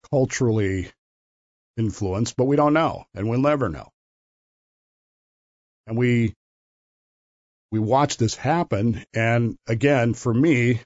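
A man's voice: medium pitch, darkish tone, no room sound. A man hosting a solo talk podcast, telling a story in an opinionated, conversational way and often correcting himself mid-sentence. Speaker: podcast host. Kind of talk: solo commentary monologue. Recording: dull lo-fi dry recording.

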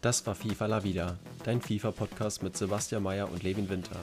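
A man's voice: fairly low pitch, fairly even intonation, a little fast. A young man reading a scripted podcast outro as announcer, with music behind him.

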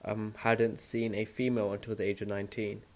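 A man's voice: lowish voice, flat delivery, a little fast. A young man in his twenties, raised in India and London, chatting casually as a podcast co-host with dry, teasing humor. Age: 20s. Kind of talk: casual chat.

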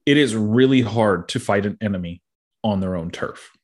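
A man in his thirties co-hosts a loose, casual podcast. His voice sits a little low. He rambles through plenty of ums and uhs and laughs easily. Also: moderate pace. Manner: rambling, laughs often, many fillers. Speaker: podcast co-host.

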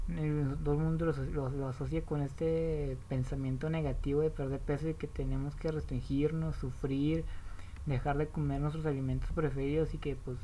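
A woman's voice: deep voice, warm tone, slight background noise, rough recording. A woman with a Mexican accent explaining diet habits in a casual, rambling way, often restarting and correcting herself.

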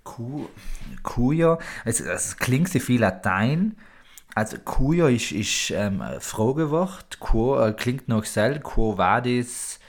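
A man's voice: medium pitch, expressive, takes it slowly. A man co-hosting a podcast in playful, colloquial, casual banter. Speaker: podcast co-host.

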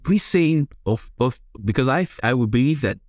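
A man with a Nigerian accent hosting a podcast interview, putting reflective, measured questions and observations to his guest. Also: medium pitch, lively intonation, dry recording, studio quality. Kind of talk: conversational interview.

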